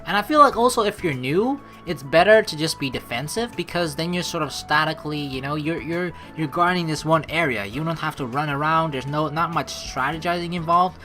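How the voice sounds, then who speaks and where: A woman's voice: sits low, brisk, few pauses, fairly bright tone. A woman hosting a let's play, chatting informally with rambling asides and reading the game's story text aloud. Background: game music.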